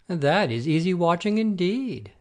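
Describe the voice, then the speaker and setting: A man playing a wily messenger god posing as a simple shepherd, with an expressive storyteller's delivery in an amateur audio play. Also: high-pitched, measured pace. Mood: friendly, humble.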